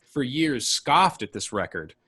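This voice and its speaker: A man's voice: mid-range voice, big pitch swings, a little slow, bright, forceful tone, breathy edge. A man hosting a podcast about albums, chatting casually and enthusiastically, often restarting his sentences. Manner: rambling, self-correcting, enthusiastic. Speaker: podcast host.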